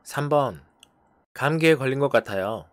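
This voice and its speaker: A teacher giving a language lesson, briskly prompting numbered phrases in turn. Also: medium pitch, lively intonation, no echo, clean studio sound. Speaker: English teacher.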